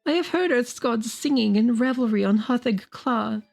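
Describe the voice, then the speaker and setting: Woman acting a shrill, fanatical male prophet. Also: expressive, normal speed, gravelly, darkish tone, soft background music. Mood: exultant, boastful. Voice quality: shrill, high-strung. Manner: grandiose, archaic declamation. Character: Barzai, proud fanatical male prophet, exultant, wildly shouting. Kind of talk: dramatic audiobook character voice.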